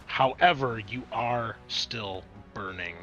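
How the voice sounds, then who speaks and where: A man with a bright, forceful voice runs a tabletop role-playing game. He moves between improvised scene narration and explaining rules. Music plays faintly behind him. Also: medium pitch, takes it slowly, muffled recording. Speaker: game master.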